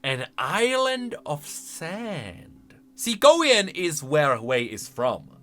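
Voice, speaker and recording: A man's voice: on the high side, huge pitch swings, very slow, bright, forceful tone, soft background music. A man hosting a game lore channel with casual reaction commentary, musing aloud and leaning hard on key words.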